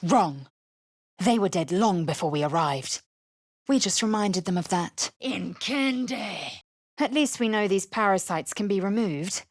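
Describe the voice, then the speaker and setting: A woman playing a fierce, haughty young alien warrior in a video game, with a clipped, stern and disdainful delivery and a husky voice. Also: mid-range voice, expressive, measured pace, no room sound, clean studio sound. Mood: fierce, commanding. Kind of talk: video game character lines and narration.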